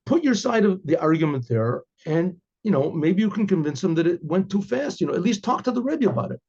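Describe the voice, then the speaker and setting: Husky, mellow man telling stories. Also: high-pitched, big pitch swings, brisk. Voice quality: frequent throat-clearing. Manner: rambling, conversational, frequent hesitations and repetitions. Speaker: rabbi telling religious stories. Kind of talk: informal oral storytelling with religious commentary. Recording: dull, lo-fi recording.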